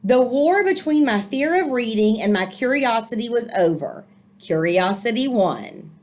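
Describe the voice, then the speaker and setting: A woman's voice: on the high side, very animated, unhurried, darkish tone. A woman reading a picture book aloud to children, expressively, with encouraging, explanatory asides. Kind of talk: storybook read-aloud with commentary for children.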